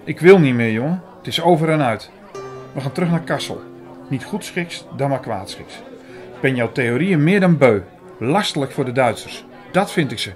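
A man's voice: medium pitch, expressive, normal speed, close to an everyday voice. An actor playing a sceptical, irritated man who narrates his own story in an audio drama. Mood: irritated, firm. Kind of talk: first-person audio drama narration and dialogue. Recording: music and crowd murmur behind.

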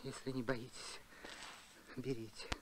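A man voicing a kindly, sorrowful bird keeper in an animated film, talking in a soft, sad way. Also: mid-range voice, a little slow, slight background noise, lightly acted, low-quality recording. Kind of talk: Soviet animated film acting.

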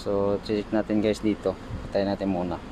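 A man's voice: on the low side, level tone, normal speed. A man with a Filipino accent giving a casual hands-on tutorial, repeatedly addressing his viewers. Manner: repetitive, addresses viewers often. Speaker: car repair vlogger. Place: inside a parked car. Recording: noisy car interior with beeps.

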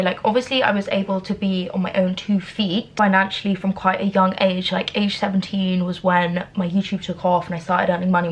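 A British woman in her twenties, chatting candidly to camera while doing her makeup at home, with lots of "like" fillers. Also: medium pitch. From Britain. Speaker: beauty vlogger. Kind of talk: casual talking-to-camera vlog. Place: bedroom at home, indoors.

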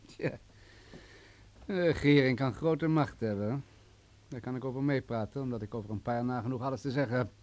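An actor playing a menacing, wealthy crime boss in a radio play, coolly sadistic, condescending and mocking. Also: male voice, medium pitch, a little fast, long pauses, a little mellow, close to an everyday voice. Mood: cool, commanding. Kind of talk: vintage Dutch radio play acting.

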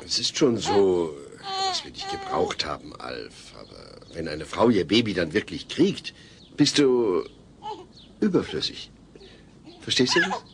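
A gentle middle-aged family man in a sitcom radio play. He is earnest and patient, with lively, varied intonation and faint ambience behind him. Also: deep voice, measured pace, creaky, a little hiss or hum, heavily acted. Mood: earnest, happy. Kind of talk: German sitcom audio drama.

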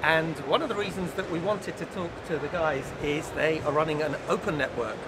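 A man's voice: on the high side, a little fast, very noisy. A middle-aged man hosting interviews at a trade fair, talking in a conversational, upbeat way in a busy exhibition hall. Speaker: host interviewer.

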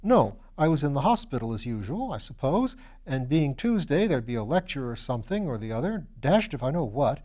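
A man's voice: medium pitch, big pitch swings, fairly quick, few pauses, dark tone. A man reading a storybook as a flustered, eager, self-deprecating young upper-class English student who talks in old-fashioned slang. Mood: flustered, unsure.

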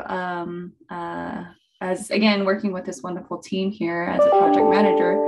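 An upbeat woman leads an online webinar as its host over a video-call mic. She keeps things friendly and flowing, with a scattering of fillers and restarts, at a middling pitch. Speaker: webinar host, project manager. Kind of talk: scripted-leaning webinar presentation.

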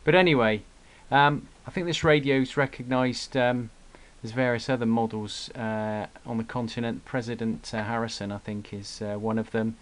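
A man giving an unscripted hobbyist product demonstration in a halting way, with frequent pauses, recorded indoors on a camera mic. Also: mid-range voice, steady tone. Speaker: radio hobbyist presenting gear. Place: indoor room at a radio desk. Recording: clear background noise, clicks.

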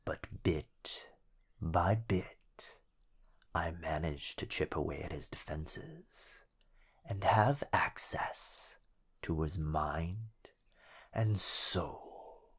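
Man voicing a slow, low, whispery possessive shadow. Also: exaggerated voice. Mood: teasing, sinister. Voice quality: breathy, whispery. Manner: drawn-out, menacingly sweet delivery. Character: possessive, teasing living shadow of a sorcerer boyfriend. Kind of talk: ASMR roleplay.

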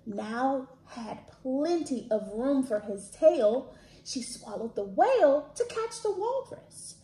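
A woman in her thirties hosting a library storytime, reading aloud to children expressively with dramatic, drawn-out emphasis. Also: high-pitched, sing-song, mellow. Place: small indoor room, plain wall. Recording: echoey room.

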